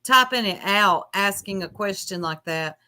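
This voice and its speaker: A woman's voice: mid-range voice, lively intonation, a little slow, pushed and bright, no echo. A woman in casual panel chat, talking in a plain, colloquial way. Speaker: panelist on a livestream open panel.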